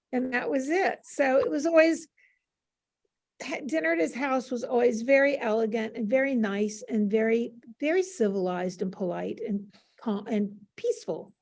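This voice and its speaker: An older woman with a fairly high voice shares memories as a guest on a panel. Her talk is casual and anecdotal, with a gently teasing streak. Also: moderate pace. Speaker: interview guest.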